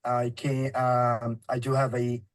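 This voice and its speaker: Flat-toned, formal man from Palestine. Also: mid-range voice, slow. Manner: formal and polite. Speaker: panelist, coaching client. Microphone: video call.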